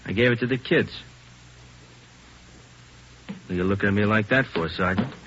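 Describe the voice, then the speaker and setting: An actor playing a sarcastic, defiant American petty crook in a 1940s radio drama. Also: male voice, on the low side, moderate pace, background noise, natural voice. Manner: sarcastic, insolent. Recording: dull old radio recording with music.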